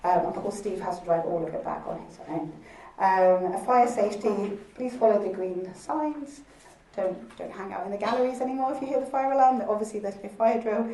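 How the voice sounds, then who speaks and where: A woman with a croaky, worn voice gives a chatty, informal welcome, stopping often with ums. She is heard from some distance in a large, echoey museum hall. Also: medium pitch, normal speed, mellow. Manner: chatty, laughing, many ums. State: croaky voice from recent illness. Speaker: lab director hosting a launch event. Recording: echoey hall, speaker far from mic.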